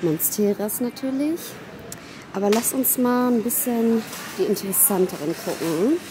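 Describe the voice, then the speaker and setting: A young woman vlogging casually and excitedly while browsing plants in a large garden center, sometimes dropping to a whisper. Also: medium pitch, moderate pace, noisy background. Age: young adult. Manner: excitable, chatty, often whispering asides. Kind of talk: casual shop-with-me vlog. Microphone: handheld phone camera.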